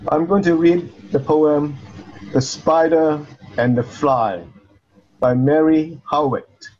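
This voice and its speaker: Slow-reading voice of a woman, dull recording. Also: low-pitched, fairly even intonation, a little mellow. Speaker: poetry reader. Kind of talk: slow storybook-like poetry recitation. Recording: dull lo-fi audio.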